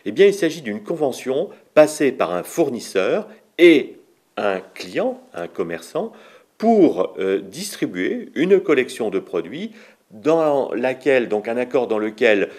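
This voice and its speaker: A man's voice: mid-range voice, big pitch swings, a little slow, a little mellow. A man explaining a business term, asking himself rhetorical questions and using some fillers. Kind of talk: explanatory segment.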